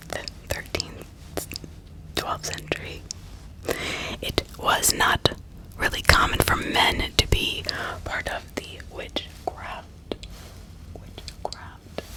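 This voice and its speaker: A woman narrating true crime in a breathy ASMR whisper, deliberate and often repeating words and phrases. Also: low-quality recording. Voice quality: breathy, mostly unvoiced whisper. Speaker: ASMR host.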